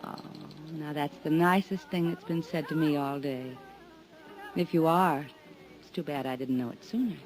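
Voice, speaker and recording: A woman's voice: low-pitched, normal speed, a little mellow, lightly acted, low-quality recording. An actress playing a teasing, mysterious woman on vacation in a 1950s radio drama. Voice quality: coy, teasing. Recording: old radio recording with music.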